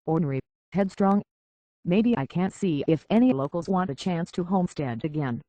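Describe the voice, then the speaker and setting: A man's voice: high-pitched, expressive, moderate pace, dark tone, no room sound. An actor playing a plain-spoken, folksy island barkeep in a video game, blunt and concerned for his own people. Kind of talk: video game character dialogue.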